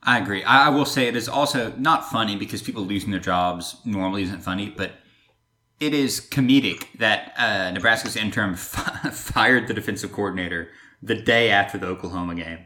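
A man's voice: fairly low pitch, a little fast, bright, forceful tone. A man hosting a sports podcast, chatting casually and teasing his co-host.

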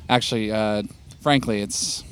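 A man's voice: lowish voice, fairly even intonation, unhurried, a little hiss or hum. A man hosting a casual podcast interview outdoors, chatty and joking with his guest. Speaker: podcast host, pastor. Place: outdoors in nature.

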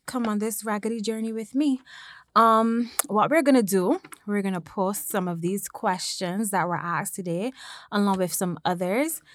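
A woman's voice: medium pitch, no echo. Woman in her 20s hosting a podcast, chill slangy talk, dry close mic. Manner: laid-back, slangy, teasing. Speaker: podcast co-host. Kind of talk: casual podcast chat.

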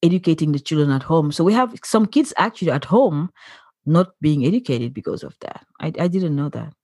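A woman's voice: sits low, animated, a little fast, darkish tone, slightly rough, no room sound, clean studio sound. A woman hosting a conversational interview, affirming her guest and asking open questions about her story. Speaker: podcast host.

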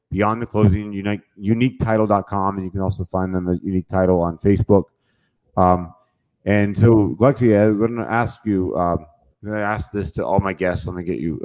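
A man hosts a podcast on real estate, upbeat and rambling, with many "uh"s. His voice sits fairly low. He makes promos and asks questions in a loose, friendly flow. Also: mellow, poor audio. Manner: upbeat and rambling, heavy on fillers. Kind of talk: podcast interview hosting.

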